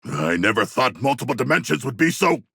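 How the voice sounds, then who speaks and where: An actor playing a huge, eloquent humanoid shark warrior in a video game, earnest and fierce, with a gravelly voice and stilted, formal diction. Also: male voice, mid-range voice, very animated, a little fast, a bit bright, dry recording, clean studio sound, full character voice. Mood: dry, menacing. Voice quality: gravelly, rough. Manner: formal, stilted, precise diction with big words. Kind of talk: game combat barks and dialogue.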